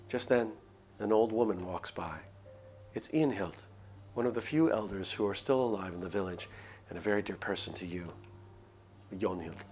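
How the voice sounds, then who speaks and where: Man, low voice, expressive story narration, visual novel streamer, faint background noise.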